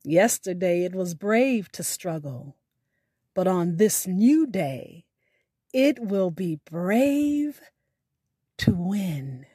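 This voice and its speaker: A woman hosting a podcast, reading scripted announcements in a deliberate, carefully enunciated way. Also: lowish voice, big pitch swings, dark tone, husky, no echo, clean studio sound. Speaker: podcast host.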